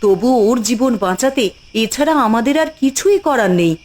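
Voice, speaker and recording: Actress as anxious fairy-tale queen, cartoon, raised pitch, earnest, formal, devoted mother. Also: lively intonation, moderate pace, a little mellow, natural voice. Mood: anxious, resolute.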